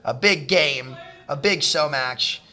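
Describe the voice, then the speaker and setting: A young man casting a live esports match. His voice is going hoarse, and he gets excited as the action builds. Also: on the high side, takes it slowly. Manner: excitable play-by-play, rising with the action. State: losing his voice. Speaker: esports caster. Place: college esports event. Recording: live event crowd behind.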